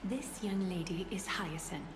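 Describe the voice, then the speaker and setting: Woman acting a low, stately, gracious noblewoman. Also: reverberant, natural voice, low-quality recording. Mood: courteous, composed. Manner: formal, measured, soft-spoken. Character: composed, gracious noblewoman leader, courteous and stately. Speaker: game voice actor. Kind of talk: scripted game dialogue. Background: game music.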